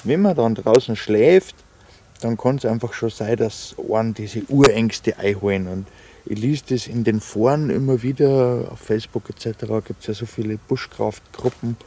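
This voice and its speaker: A man's voice: mid-range voice, normal speed, dark tone, slight background noise. A man, talking casually to camera and his dog while camping in a forest, rambling with many fillers. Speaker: outdoor vlogger. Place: forest camp at night, outdoors.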